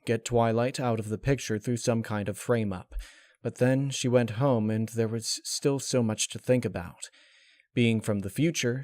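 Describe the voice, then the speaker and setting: A man reading a scripted piece of fiction as narrator, in a measured, serious, dramatic storytelling manner. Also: medium pitch, darkish tone, no room sound, clean studio sound.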